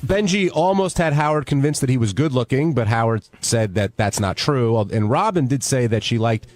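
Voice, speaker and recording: A man's voice: mid-range voice, lively intonation, moderate pace, darkish tone, soft background music. A man hosting a radio show, moderating a panel discussion and putting questions to others. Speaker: host of a radio wrap-up show.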